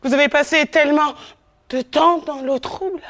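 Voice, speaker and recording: A woman performing a poetic theatre monologue on stage, incantatory, moving between whispers and loud declamation. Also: high-pitched, fairly even intonation, normal speed.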